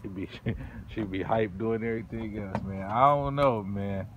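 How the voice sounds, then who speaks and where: A man's voice: lowish voice, normal speed, dull audio. Playful man filming outdoors by a pond. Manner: playful, teasing, laughs often. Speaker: vlogger filming family. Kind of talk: casual running commentary to viewers. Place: outdoors on a wooden pier by a pond. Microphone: phone camera held in hand. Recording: outdoor phone recording with background noise.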